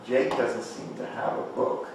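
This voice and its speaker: Man, mid-pitched with vocal fry, tentative and analytical, casual group talk, heavy room echo. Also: flat delivery, unhurried. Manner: tentative, reasoning aloud. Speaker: participant in play reading discussion. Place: echoey room, group table read. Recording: echoey, noisy room, distant mic.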